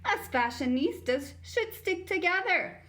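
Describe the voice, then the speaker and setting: A woman voicing a bubbly, confident new schoolgirl in a children's storybook, gushing and exclaiming brightly. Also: very high-pitched, animated, a little slow, voice pushed up, exaggerated voice. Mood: bubbly, excited.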